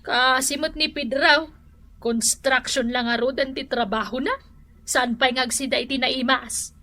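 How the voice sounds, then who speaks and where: A woman playing a sharp-tongued, complaining older mother with an Ilocano accent in a radio drama. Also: high-pitched, fairly even intonation, a little slow.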